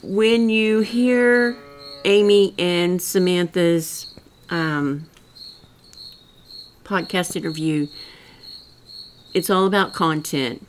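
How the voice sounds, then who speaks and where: A woman's voice: on the low side, steady tone, extremely slow, long pauses, slight background noise. An older woman with a rural Missouri accent, hosting her own podcast in a folksy, rambling, earnest monologue. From rural Southwest Missouri. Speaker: podcast host. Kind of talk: solo political commentary.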